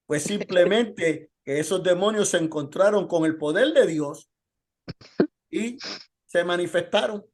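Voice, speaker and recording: A man's voice: sits high, measured pace. A man preaching as a pastor in an informal Bible lesson, telling testimony and posing rhetorical questions.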